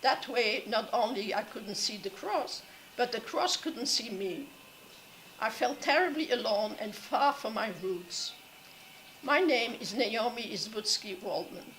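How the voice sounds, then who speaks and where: Older belgian-born lady reading slow and measured, clears her throat a lot, fairly high voice. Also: a bit bright, slight background noise, low-quality recording. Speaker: Holocaust survivor speaker. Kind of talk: reading a prepared memoir talk. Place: classroom.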